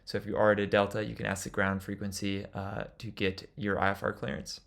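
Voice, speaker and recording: A man's voice: on the low side, flat delivery, brisk, creaky, a bit bright. A man giving an instructional lecture as a flight instructor, explaining things in an informal way.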